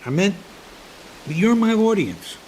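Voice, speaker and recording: Animated, wounded joker of an American dad. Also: male voice, high-pitched, slow pace, exaggerated voice, low-quality recording. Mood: hurt, defensive. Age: middle-aged. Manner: needling, defensive, theatrically aggrieved. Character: joking, wounded, stubborn middle-aged dad. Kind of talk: comedic audio drama acting. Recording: faint background hiss.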